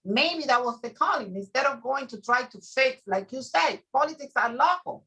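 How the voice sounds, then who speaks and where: Earnest lady lawyer, 2nd language english, trips over words, bright voice. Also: high voice, expressive, moderate pace. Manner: earnest, repeats words while finding them. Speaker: guest, immigration attorney. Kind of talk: casual panel talk.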